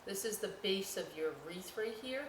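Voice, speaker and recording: A woman hosting a children's storytime, reading aloud and explaining crafts with folksy, chatty, expressive asides to young listeners. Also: mid-range voice, normal speed. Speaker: library storytime host. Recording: roomy echo, distant mic, faint noise.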